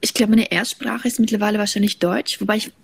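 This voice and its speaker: A woman's voice: medium pitch, fairly even intonation, fast, vocal fry, husky. A woman whose first language is Russian, answering interview questions in a reflective way and restarting her sentences often. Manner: reflective, with frequent restarts and fillers. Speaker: guest author. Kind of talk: thoughtful conversational interview.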